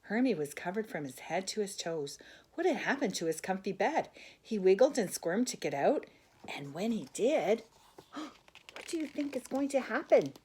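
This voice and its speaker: An older woman reads a children's picture book aloud in a gentle, expressive way, with asides to a young listener. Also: mid-range voice, moderate pace, husky. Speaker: grandmother storyteller.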